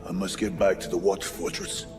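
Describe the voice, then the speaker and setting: An actor playing a stoic, dutiful veteran space-marine lieutenant in a game cutscene, terse and resolute. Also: male voice, low-pitched, level tone, moderate pace, lightly acted. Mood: troubled, insistent. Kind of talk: dramatic video game cutscene acting. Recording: under loud dramatic music.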